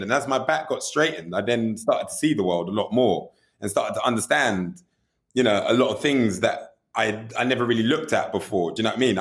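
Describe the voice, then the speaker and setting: A man's voice: on the low side, fairly bright tone. A man being interviewed about directing music videos, talking candidly and animatedly, often asking "you know what I mean" and swearing casually.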